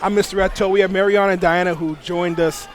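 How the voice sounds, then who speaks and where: A man hosting an upbeat on-location interview in an animated, enthusiastic manner. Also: high voice, fast talker. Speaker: host. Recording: busy crowd chatter behind.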